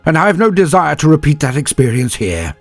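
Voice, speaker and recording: A man voicing a determined female ship's officer who shouts urgently over the battle. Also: fairly high pitch, sing-song, a little fast, vocal fry, soft background music, pitched above the performer's own voice, heavily acted.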